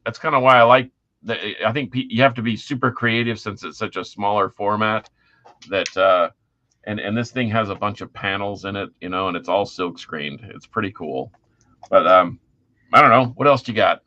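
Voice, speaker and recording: A man's voice: lowish voice, moderate pace, pushed and bright, dull audio. A middle-aged man chatting casually and enthusiastically as a music podcast co-host, using informal slang.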